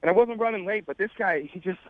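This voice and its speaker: Male radio caller, quick pace, rambling and agitated, casual, slightly dull phone sound. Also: high-pitched, no echo. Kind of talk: casual conversational storytelling. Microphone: telephone.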